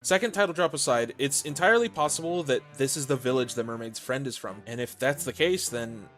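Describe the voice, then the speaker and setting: A man delivering scripted commentary on a visual novel as a video essayist, with expressive, wry asides. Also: medium pitch, a little fast, faint music behind.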